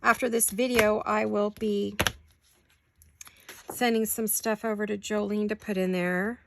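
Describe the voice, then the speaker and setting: A woman with a sore throat chatting casually to viewers while she crafts at home, thinking out loud as she works. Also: medium pitch, flat delivery, measured pace, creaky, slight background noise. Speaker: craft vlogger. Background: scissors and crafting clicks.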